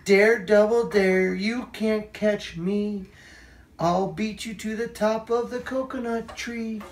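A man's voice: high voice, fairly even intonation, slow pace. A man voicing a playful, daring little alphabet letter from a storybook in a teasing, sing-song way. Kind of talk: animated storybook read-aloud. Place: indoors in a child's carpeted bedroom. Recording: echoey room.

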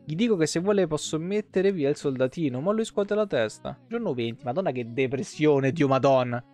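High-pitched man with a tired voice, music behind. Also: lively intonation, a little fast. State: voice tired and giving out. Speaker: game streamer. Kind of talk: reading game lore aloud on a livestream. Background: soft plucked guitar music.

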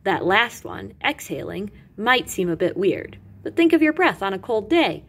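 Animated woman reading aloud, slightly husky. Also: mid-range voice, moderate pace. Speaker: teacher reading aloud to students. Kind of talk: expressive educational read-aloud.

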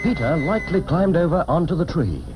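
A man narrating a children's storybook cartoon in a theatrical, expressive way, on an old film soundtrack. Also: fairly high pitch, moderate pace, low-quality recording. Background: orchestral music.

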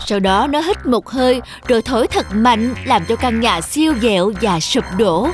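A woman's voice: fairly high pitch, expressive, moderate pace. Woman storyteller over music. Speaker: narrator. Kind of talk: scripted children's story narration.

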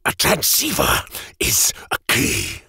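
A man voicing a greedy, volatile mage with a metal arm and a glowing eye, in a raspy, breathy voice that hisses threats and breaks into frantic shouts. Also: mid-range voice, wildly swooping pitch, slow, no echo, pitched above the performer's own voice, heavily acted. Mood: hissing threat. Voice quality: raspy, breathy whisper sliding into shrieks. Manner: theatrical, snarling, swings from hissing threat to frantic shouting. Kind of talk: audiobook character voice.

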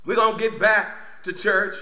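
A man's voice: high voice, fairly even intonation, measured pace, bright, forceful tone. A middle-aged man preaching a fervent sermon as a pastor, emphatic and exclamatory, repeating phrases. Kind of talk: fervent Baptist Easter sermon. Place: church sanctuary at a pulpit, indoors. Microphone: microphone on boom stand at pulpit. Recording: roomy, echoing church sound.

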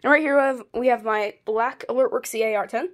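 A hobbyist showing off a collection in a casual, halting, offhand way, filmed on a handheld device in a bedroom. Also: medium pitch. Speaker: hobbyist video maker. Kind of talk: casual show-and-tell of a collection. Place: small carpeted bedroom, indoors. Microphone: handheld phone or camera, moving around.

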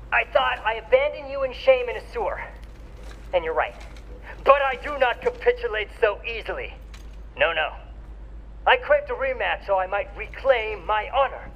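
A man's voice: extremely high pitch, muffled recording, slight background noise, exaggerated voice. A man acting in a video game as a pompous, pathetic rival, speaking in grandiose, theatrical, formal phrases. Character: pompous, pathetic male rival craving honor.

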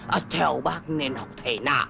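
An actor voicing a sly, boastful and gullible cartoon wolf, blustering with drawn-out interjections. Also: mid-range voice, creaky, music in the background. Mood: sly, eager. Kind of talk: exaggerated cartoon dubbing.

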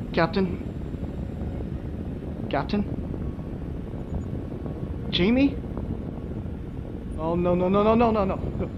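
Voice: mid-range voice, background noise. An actor playing a frantic, swearing crewmate who tends a wounded captain in a sci-fi audio drama. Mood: alarmed. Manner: frantic, swearing, talking to self. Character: panicked crewmate tending a badly wounded captain.

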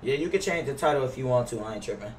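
A man's voice: medium pitch, expressive, very fast. A young American man streaming a game, chatting in casual slang with hyped, repeated phrases. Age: young adult. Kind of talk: casual slangy in-game chat and livestream banter. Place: indoor room at a gaming setup. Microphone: gaming headset. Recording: roomy, noisy gaming headset, car sounds.

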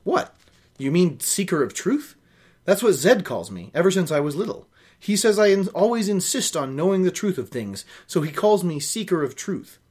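A man reads the part of a good-natured young man in a storybook. He is inquisitive and earnest, with a lively range of pitch. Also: moderate pace, breathy edge, heavily acted. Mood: puzzled.

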